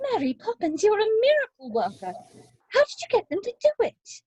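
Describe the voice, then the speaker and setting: A very high, swooping voice for a fussing, well-to-do mother, played by a woman. She is flustered and exclaiming, yet takes her time over the words, as in a stage musical read aloud on a dry recording. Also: huge pitch swings, measured pace, warm tone, slight background noise, exaggerated voice. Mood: amazed, hurried. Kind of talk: amateur musical play read-through.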